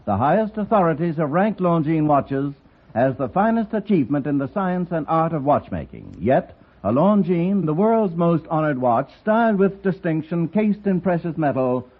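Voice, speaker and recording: A man with an American accent reading a scripted radio advertisement in a formal, deliberate, polished announcer's manner. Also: a little high, big pitch swings. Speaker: commercial announcer. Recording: tinny 1950s radio recording.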